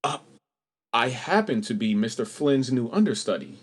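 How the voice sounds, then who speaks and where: A man's voice: mid-range voice, very animated, normal speed. An actor playing an earnest, plucky young male understudy in a radio play. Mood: polite, wary.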